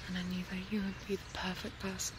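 A woman's voice: mid-range voice, completely flat delivery, natural voice. A woman in her twenties playing a serene young cult member in a whispered, soothing ASMR roleplay, close to a binaural mic. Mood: serene, reverent. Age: 20s. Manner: hushed, slow, soothing, often whispering. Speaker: ASMR performer. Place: outdoors among trees. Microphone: close binaural microphone. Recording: outdoor nature noise, birdsong.

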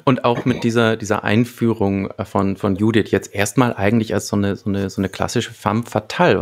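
Male co-host, thirties, creaky lower register, reflective and analytical, speaking close to a desk mic. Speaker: podcast co-host. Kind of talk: casual analytical discussion. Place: small book-lined home room, indoors, remote video call. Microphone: large desk microphone close up, with headphones.

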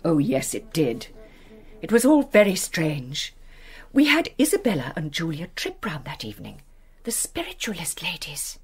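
A woman's voice: low voice, wildly swooping pitch, normal speed, husky, heavily acted. An actress playing a fussy, gossipy middle-aged English lady's companion in a British radio play, with a fluttery, prim manner. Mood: hushed, eager.

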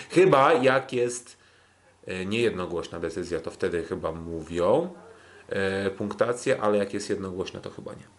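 Male podcast host, lowish voice, hesitant and pausing often while giving fight recaps.

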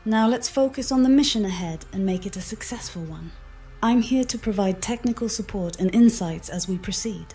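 A woman's voice: mid-range voice, moderate pace, dark tone, slightly rough. A synthetic woman's voice speaking as an AI mission companion, in a polite, formal, scripted assistant style. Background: background music.

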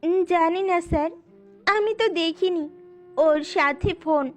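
A woman's voice: very high-pitched, slow. A woman playing a timid, frightened young woman in an audio drama, anxious and deferential. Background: music.

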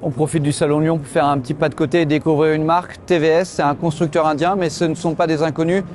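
Lively male motorcycle journalist at a show. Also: a little high, quick pace. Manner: lively, explanatory, tosses in fillers. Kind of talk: trade show walkthrough and interviews. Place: busy indoor exhibition hall. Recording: background music.

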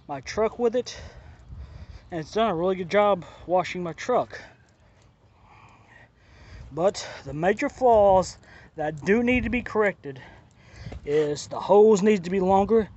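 A man's voice: high voice, a little mellow, muffled recording. A man giving a casual spoken product review outdoors, talking plainly and repeating his complaints, filmed on a handheld phone. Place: outdoors by a driveway and lawn.

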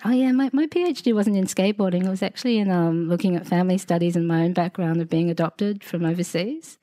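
A woman's voice: lowish voice, fast talker, warm tone, no echo. An Australian woman in her forties, talking casually as a podcast guest, chatty and rambling with many fillers and asides. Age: 40s. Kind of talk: casual conversational interview.

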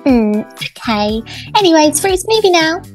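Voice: sits high, big pitch swings, a little slow, soft background music. A performer voicing a cheeky, dramatic toddler girl who pretends to be a cat, whiny and sassy, in a game roleplay.

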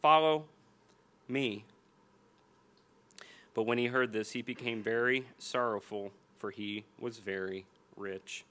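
A man in his forties with an American accent preaching a sermon and reading scripture at a lectern, slowing down and repeating key phrases for emphasis. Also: medium pitch, a bit bright. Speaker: pastor. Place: church hall stage at a lectern. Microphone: small stand mic on the lectern.

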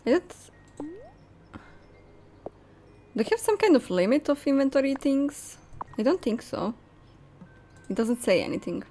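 A woman's voice: high-pitched, big pitch swings, measured pace, long pauses, a little hiss or hum. A young woman playing a game and commenting casually, thinking aloud as she goes. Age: young adult. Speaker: gamer, host. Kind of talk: casual let's play commentary. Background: soft game music.

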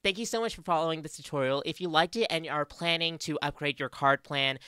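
A woman's voice: low-pitched, a little fast, pushed and bright, clean tone, dry recording. A young adult woman with an Australian accent presenting a scripted tutorial into a close studio mic. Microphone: close studio mic on boom arm.

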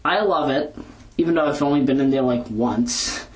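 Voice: mid-range voice, normal speed. A speaker giving a casual, rambling audio log in an informal, hesitant, self-correcting way, talking into a handheld recorder. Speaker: video blogger. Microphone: handheld audio recorder moved back and forth.

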